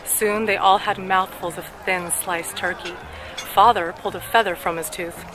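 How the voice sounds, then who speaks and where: Music plays clearly behind a woman reading a story aloud. Her voice sits at a medium pitch for a woman. She delivers the scripted text deliberately, articulating each word with care, as a storyteller for speech practice. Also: steady tone, low-quality recording. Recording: clear background music under the voice.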